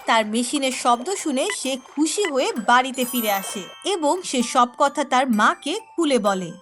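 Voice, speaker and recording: A woman narrating a cartoon story in a clear, storytelling manner. Her voice is high. Music plays clearly underneath. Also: lively intonation, a little slow.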